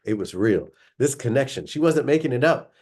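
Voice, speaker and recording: A man reading a story aloud as expressive, dramatic narration, drawing out descriptive phrases. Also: mid-range voice, a little fast.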